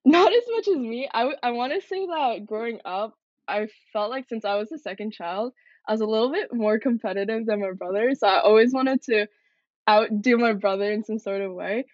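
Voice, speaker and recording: A woman's voice: a little high, brisk, no room sound, dull audio. A young woman from Canada, a military cadet, answering interview questions in an earnest, reflective way. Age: young adult.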